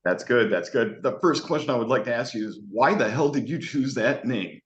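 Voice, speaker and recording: A male host talks loosely and enthusiastically, swearing freely, in a middle-pitched voice. His audio sounds roomy and dull, like a lo-fi home setup. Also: lively intonation, a little fast. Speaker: podcast host. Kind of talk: casual interview chat.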